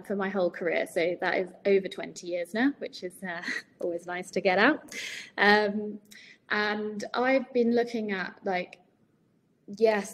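A woman in her forties hosting a webinar over a video call, chatty and relaxed, with many ums. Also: medium pitch. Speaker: webinar host. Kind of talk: casual webinar chat. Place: home room with shutters and plants. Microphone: video call laptop mic.

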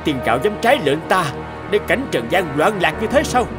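Imperious old cartoon emperor, commanding and indignant, very animated intonation, music behind. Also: male voice, sits high, fairly quick. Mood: angry. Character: stern, imperious old male heavenly emperor. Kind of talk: animated fairy-tale dialogue. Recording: music under the voice.

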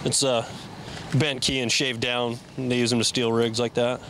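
A male police officer talking plainly and barking slow loud commands at a night traffic stop. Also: mid-range voice, level tone. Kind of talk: field commands and casual police talk. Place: outdoors at night on a roadside. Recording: noisy outdoor field audio.

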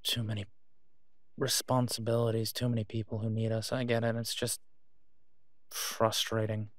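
A man playing a tired, devoted and protective werewolf in an intimate audio drama phone call, speaking in a halting, reassuring way. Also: lowish voice, little pitch movement, no echo, studio quality, close to an everyday voice. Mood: reassuring, casual.

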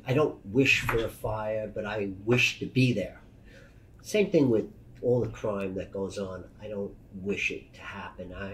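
A man in his fifties from Brooklyn speaks in a low voice with a New York accent. He gives a personal interview account in an emphatic, plain-spoken way, repeating phrases to press a point. There is faint noise behind him. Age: 50s. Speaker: news photographer and editor. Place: meeting room indoors.

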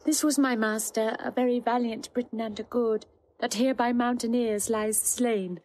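A woman's voice: a little high, fairly even intonation, normal speed, a little mellow, lightly acted, rough recording. An actress playing a grieving young woman disguised as a faithful page boy in a Shakespearean audio drama, speaking verse in a plaintive, earnest way. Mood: sorrowful.

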